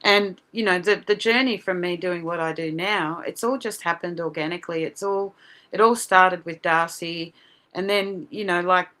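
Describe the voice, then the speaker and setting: Middle-aged Australian woman, slightly low voice, earnest and animated, plenty of fillers; podcast guest. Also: normal speed. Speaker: guest, parent advocate. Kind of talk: casual podcast interview.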